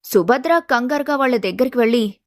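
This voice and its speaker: A woman's voice: fairly high pitch, a little fast, a little mellow, dry recording. A woman reading a scripted family story as its narrator.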